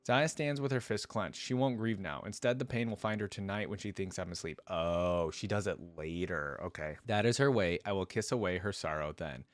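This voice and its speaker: A low-pitched male reaction host who reads a story aloud, then drops into casual talk to explain what he thinks. The sound is dry and close, with little room around it. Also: normal speed, hardly any pauses, dry recording. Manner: casual, stops to explain and reflect. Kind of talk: reading a story aloud with off-the-cuff commentary.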